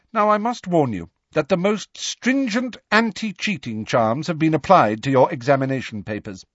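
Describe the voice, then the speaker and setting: A man's voice: fairly high pitch, no room sound, highs cut off, pitched above the performer's own voice. A man voicing a stern, prim older female schoolteacher with a formal, clipped, admonishing manner in an audiobook.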